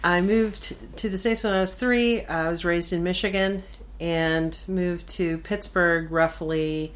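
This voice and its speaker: A woman's voice: on the low side, fairly even intonation, unhurried. A woman in her forties, originally from Venezuela, chatting as a podcast guest in an easygoing, good-humored way. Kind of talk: casual conversation.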